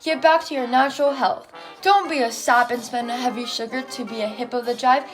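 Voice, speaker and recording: A woman's voice: on the high side, fairly even intonation, a little fast, over music. A young woman reading a scripted 1920s-style radio broadcast as its presenter.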